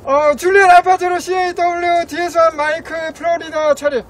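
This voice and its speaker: A middle-aged man with an extremely high voice works as an amateur radio operator outdoors. He gives call signs in short, formulaic bursts, recorded from a few metres off in an open field under very heavy noise. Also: little pitch movement, moderate pace. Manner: clipped, formulaic call-sign delivery. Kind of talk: ham radio call exchange. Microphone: camera a few metres away. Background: loud ambient and radio noise.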